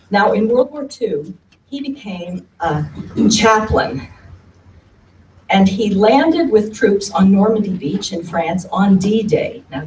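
A woman's voice: medium pitch, a little mellow. A woman giving a conversational talk from a lectern to an audience in a meeting room, often repeating words as she goes. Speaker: speaker giving a history talk. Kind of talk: presentation at a lectern. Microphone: far camera at the back of the room. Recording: roomy hall from far off, faint hum.

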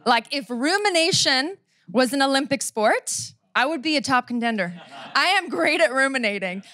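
A woman in her thirties with a Canadian accent, preaching on a church stage into a handheld mic, conversational and self-deprecating, slowing down to read scripture. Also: high voice, fairly bright tone, no room sound. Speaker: pastor. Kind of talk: sermon. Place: church stage before a seated audience. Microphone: handheld microphone.

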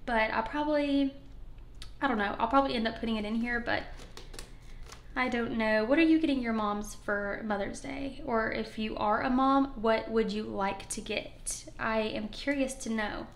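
A woman's voice: fairly high pitch, normal speed, echoey room. A woman with a slightly scratchy voice, chatting casually and upbeat as she counts cash at a table for her budgeting channel. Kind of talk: casual talk to camera while counting cash. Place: indoors at a wooden table.

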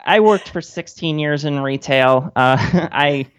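A woman's voice: low voice, slow, very noisy. A woman in her thirties chatting casually and wryly as a guest in a radio interview. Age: 30s. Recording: dull, band-limited audio.

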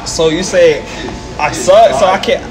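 A young man in casual prank-vlog chat with restaurant staff, pleading and animated, often saying "bro", recorded on a handheld camera in a fast food restaurant. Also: sits high, a loud crowd, low-quality recording. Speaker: prank vlogger.

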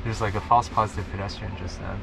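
A man's voice: fairly low pitch, little pitch movement, fast, creaky, over music, low-quality recording. A man riding in a driverless car, commenting casually with hesitant, filler-filled musing. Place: back seat of a moving car in the rain. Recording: loud car and rain noise. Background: loud road and rain noise.

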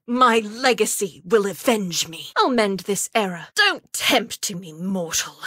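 A woman's voice: medium pitch, pushed and bright, no room sound, clean studio sound, exaggerated voice. An actress voicing a proud, fierce elf woman who guards an ancient forest, speaking in a formal, imperious, defiant manner for a video game. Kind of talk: video game character voice lines. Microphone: close studio mic.

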